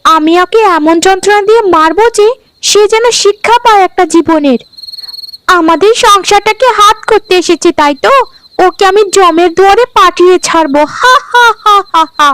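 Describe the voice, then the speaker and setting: A woman's voice: very high voice, normal speed, fairly bright tone. A woman voicing a cruel, scheming young sister-in-law in a fairy-tale cartoon, with a sneering, menacing manner. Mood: menacing, gloating. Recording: background music under the voice.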